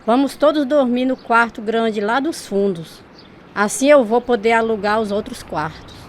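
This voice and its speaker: Actress as firm mother, higher-pitched voice. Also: slight background noise, close to an everyday voice. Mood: firm, practical. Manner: brisk, instructing, motherly. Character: practical, firm hard-pressed mother of a household. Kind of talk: radio drama acting.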